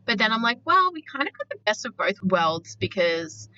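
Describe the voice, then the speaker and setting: A bright, forceful Australian woman's voice in easy podcast chat. She talks loosely and laughs often, and her accent sometimes drifts. The recording sounds a little dull and lo-fi. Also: mid-range voice, big pitch swings, moderate pace. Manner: chatty, laughs often, slips into put-on accents. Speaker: podcast co-host. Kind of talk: casual chat.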